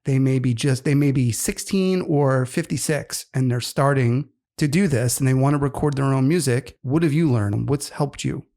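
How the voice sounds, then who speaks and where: A man's voice: mid-range voice, normal speed, hardly any pauses, warm tone. A man in his fifties co-hosting a casual podcast, rambling enthusiastically about music. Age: 50s. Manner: rambling, enthusiastic music talk with many fillers. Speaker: co-host.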